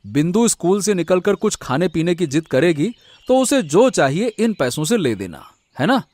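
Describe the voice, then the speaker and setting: A man's voice: sits high, sing-song, normal speed, a little mellow, no room sound. A man playing a kind, doting village husband and father in a cartoon story, easygoing and affectionate. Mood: gentle, dutiful. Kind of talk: Hindi cartoon moral story acting.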